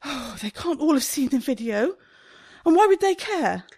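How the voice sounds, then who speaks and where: An actress playing a bossy, easily panicked British shop owner in a radio comedy, chatty and theatrical. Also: female voice, high-pitched, big pitch swings, normal speed, a little mellow. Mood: anxious disbelief. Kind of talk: radio play comedy acting.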